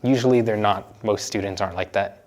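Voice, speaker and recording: A young man from the United States chatting casually in an interview, relaxed and often laughing as he talks. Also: on the low side, quick pace. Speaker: interviewee, university student.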